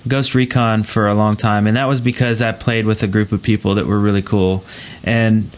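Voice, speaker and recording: A man's voice: fairly low pitch, flat delivery, normal speed. A man chatting casually as the host of a gaming podcast.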